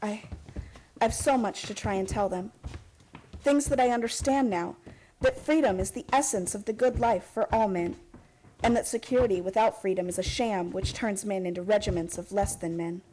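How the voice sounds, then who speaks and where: An actress playing an earnest young heiress in a radio drama, with urgent, impassioned delivery. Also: female voice, medium pitch, moderate pace, warm tone, a little hiss or hum, close to an everyday voice, low-quality recording. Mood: tender, fervent.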